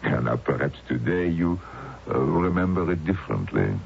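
An actor playing a stern, coldly formal wartime interrogator with a patronizing, measured manner in an old-time radio drama. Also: male voice, a bass voice, animated, gravelly, heavily acted. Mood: coldly menacing. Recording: muffled old radio recording, faint hiss.

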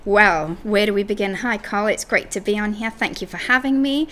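A woman's voice: mid-range voice, moderate pace, fairly bright tone, slight background noise. A woman from Zimbabwe speaking as a podcast guest and coach in a conversational interview.

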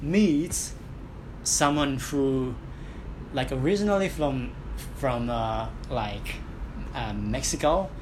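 A man in a casual conversation, speaking haltingly and searching for words with many fillers. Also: mid-range voice. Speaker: conversation partner. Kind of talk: casual chat. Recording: clear background noise.

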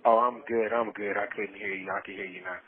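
A man's voice: fairly low pitch, steady tone, fast talker, slightly rough. Earnest man on a muffled phone line. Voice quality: casual vernacular speech peppered with "man". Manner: earnest, faith-filled, repeating himself for emphasis. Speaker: incarcerated storyteller. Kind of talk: heartfelt personal testimony over a phone call. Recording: muffled, band-limited prison phone call.

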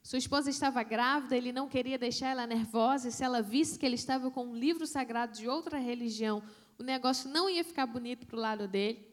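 A woman missionary, telling a testimony in a sermon, with dramatic pauses and hushed emphasis. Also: on the high side. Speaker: missionary preacher.

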